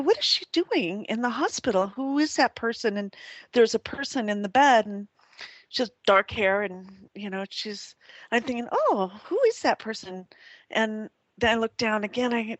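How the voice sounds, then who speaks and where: A woman guest telling a personal spiritual experience in a rambling way, with many restarts and soft laughs. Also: on the high side, no echo.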